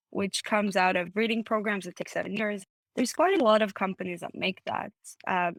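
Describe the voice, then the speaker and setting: A woman in her thirties from Israel, a startup CEO explaining her business clearly on a video call. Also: mid-range voice, a bit bright, dry recording, low-quality recording. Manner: articulate, explanatory. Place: home room, indoors.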